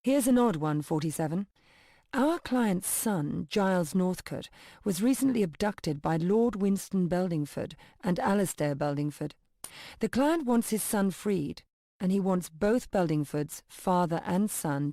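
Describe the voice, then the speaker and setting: Low-voiced, composed female agency handler. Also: dark tone, no room sound, studio quality. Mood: businesslike. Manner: formal, measured, businesslike briefing. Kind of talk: video game mission briefing.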